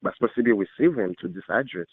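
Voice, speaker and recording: A man's voice: fairly low pitch, animated, rapid-fire, husky, dry recording. A man talking earnestly on the telephone in a rambling, repetitive way with nonstandard grammar, over a tinny narrow line. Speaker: call recipient, student. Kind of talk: earnest phone conversation.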